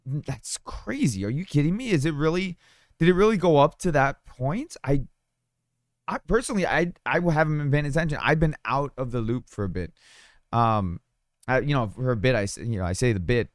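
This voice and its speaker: A man with a slightly hoarse, sore throat talking casually over a screen share, rambling with fillers and restarts. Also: medium pitch, no room sound, clean studio sound. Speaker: crypto mining YouTuber. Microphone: studio desk mic.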